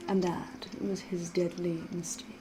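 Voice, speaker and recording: Woman playing a soft-spoken, mysterious vampire storyteller, ambient noise. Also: on the low side, lightly acted. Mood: hushed, ominous. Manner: measured, theatrical, knowing, old-fashioned formal diction. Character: mysterious flirtatious female vampire, worldly storyteller with veiled menace. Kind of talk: ASMR roleplay storytelling. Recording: steady ambient noise behind the voice.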